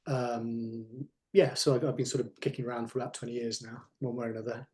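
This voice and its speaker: A man introducing himself as an artist manager on a panel, talking haltingly with many fillers and trailing off quietly, over a video call. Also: medium pitch, level tone.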